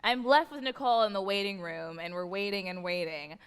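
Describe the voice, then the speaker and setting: A woman's voice: mid-range voice, a little fast, clean tone. An American woman comedian telling a comic story on stage as a live radio play. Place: large theatre stage. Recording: echoey theatre hall, live stage noise.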